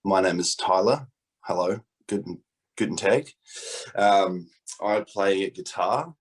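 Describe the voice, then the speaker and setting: A young man with a low, husky voice talks loosely and jokily. He is a guitarist in an interview, rambling a little as he explains himself. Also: takes it slowly, low-quality recording. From New Zealand. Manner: joking, casual, rambling. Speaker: guitarist in a band. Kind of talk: casual interview chat.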